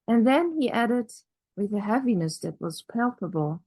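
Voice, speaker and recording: A woman whose first language is Dutch, giving a measured, reflective academic talk at a conference with pauses and restarts. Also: a little high, animated, mellow, slightly rough, no echo, poor audio. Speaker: conference speaker.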